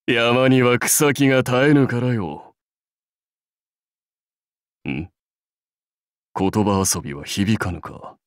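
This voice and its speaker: Man acting a slow, stately samurai warlord. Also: mid-range voice, no room sound, studio quality, natural voice. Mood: wry, playful. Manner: stately, measured, archaic lordly speech. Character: proud, seasoned male samurai warlord, wry and commanding. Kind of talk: scripted game character lines.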